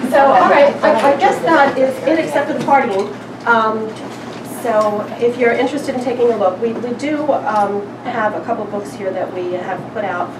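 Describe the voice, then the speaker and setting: A woman's voice: fairly high pitch, normal speed. A woman presenting a literary reading and chatting informally to an audience, speaking away from the microphone. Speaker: publisher and editor presenting a reading. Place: live event room, away from the microphone. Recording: roomy, distant live recording with noise.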